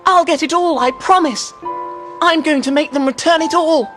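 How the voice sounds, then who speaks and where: Woman as loving boy of twelve sworn to revenge: very high, earnest, game flashback scored with music. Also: moderate pace, natural voice. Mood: tearful resolve. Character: determined, loving twelve-year-old boy vowing revenge. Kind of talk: animated mystery game voice acting. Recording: clear music behind the voice.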